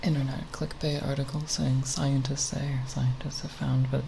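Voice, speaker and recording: A young woman talking softly in a quiet, unscripted ASMR ramble, hesitant and self-deprecating, sometimes breathy. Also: rumbling low, moderate pace. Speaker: ASMR video creator. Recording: steady background noise with tapping sounds. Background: plastic bottle tapping sounds.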